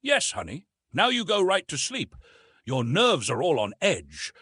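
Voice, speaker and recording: A man performs a fussing, fretful maidservant woman from a comic moment in a storybook. The voice is pushed high and swings about, folksy and exclamatory. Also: wildly swooping pitch, a little slow, sharp tone, breathy edge, dry recording, studio quality, full character voice. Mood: soothing. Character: excitable, fretful, fussing maidservant who mangles big words.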